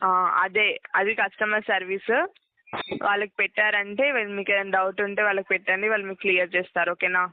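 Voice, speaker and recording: A woman's voice: mid-range voice, little pitch movement, fast. A woman working as a customer care agent, giving step-by-step instructions over the phone, often repeating herself and checking that she is understood. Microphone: telephone call. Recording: narrow, tinny phone line.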